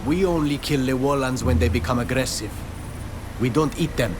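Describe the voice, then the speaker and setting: A man's voice: mid-range voice, moderate pace, background noise, close to an everyday voice. Blunt, proud islander hunter played by a man. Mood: matter-of-fact. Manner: blunt, scornful. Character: proud islander hunter, scornful of outsiders' crude work. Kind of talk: video game character acting.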